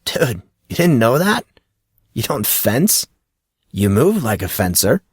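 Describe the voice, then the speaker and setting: A man's voice: mid-range voice, very animated, slow pace, breathy edge, no room sound, clean studio sound, full character voice. A man voicing a laid-back teenage boy who is keen on martial arts and talks in casual dude-and-bro slang.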